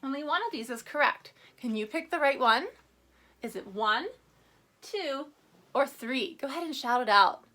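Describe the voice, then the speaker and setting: A woman teacher giving a read-aloud reading lesson to children, instructing with questions, prompts and deliberate emphasis on key words. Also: sits high, expressive, bright, forceful tone. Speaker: elementary school teacher.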